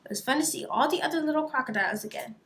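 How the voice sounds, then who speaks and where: A woman narrating a children's picture book aloud in an expressive storytelling style. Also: high-pitched, racing, gravelly.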